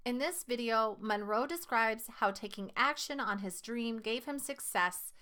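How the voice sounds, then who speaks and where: A woman with a fairly high voice reads a lesson aloud as a teacher would. Her delivery is instructional and explanatory, and she slips into casual asides between the written passages. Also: expressive, measured pace, pushed and bright.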